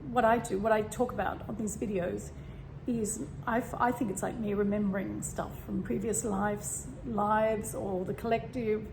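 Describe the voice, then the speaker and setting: Croaky woman over fan hum. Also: mid-range voice, moderate pace, few pauses. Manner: relaxed, meandering, frequent fillers and throat clearing. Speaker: spiritual vlogger. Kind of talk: casual spiritual talk. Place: home room with a fan running. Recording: steady fan hum behind. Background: fan noise.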